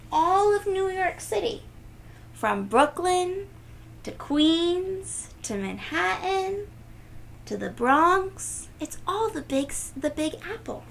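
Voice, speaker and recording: A woman's voice: high-pitched, vocal fry, slight background noise. A woman reading a storybook expressively to children, drawing out words emphatically and chatting to the listeners. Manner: animated, emphatic, drawn-out words, gasps and sound effects. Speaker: children's read-aloud host.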